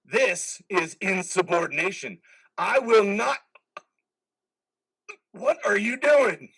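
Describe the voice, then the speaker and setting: A man's voice: high voice, slow pace, gravelly, a bit bright, husky, heavily acted, poor audio. A man playing a taunting, menacing imagined voice in a veteran's head, sneering and needling in a dramatic play reading. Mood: outraged, desperate. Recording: remote reader's theater call.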